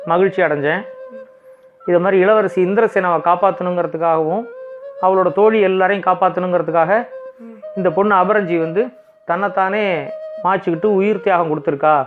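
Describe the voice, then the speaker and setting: Man voicing a grand goddess, high-pitched, music behind. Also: steady tone, fairly quick, long pauses, natural voice. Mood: pleased, grand. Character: goddess Kali, grand female deity granting a boon. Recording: thin phone-like sound, music behind.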